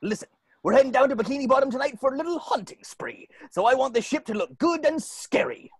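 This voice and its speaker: An actor voicing a gruff, bossy male ghost pirate captain with a raspy, blustering delivery, in a cartoon script reading. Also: high-pitched, expressive, quick pace, no room sound, clean studio sound, exaggerated voice. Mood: commanding. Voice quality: raspy, gravelly. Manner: theatrical, blustering, swooping.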